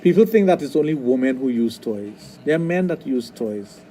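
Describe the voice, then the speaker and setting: A middle-aged man with a Trinidadian accent, a sexologist talking on a radio show, blunt and quick to restart his sentences. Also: mid-range voice, expressive, dark tone, slight background noise. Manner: repeats words, restarts often, blunt. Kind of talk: casual radio talk. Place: radio studio.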